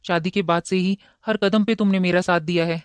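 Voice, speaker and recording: A man voicing a downcast young husband in a cartoon, speaking in a quiet, subdued way. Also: sits high, fairly even intonation, a little fast, clean tone, no room sound, lightly acted.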